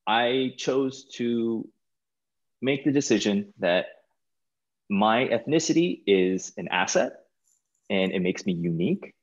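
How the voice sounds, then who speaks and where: Low-pitched American man, muffled call. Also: lively intonation. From California, United States. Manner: informal, halting, repeats words. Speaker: guest, stage performer. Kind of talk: casual interview chat. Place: small plain room indoors, video call.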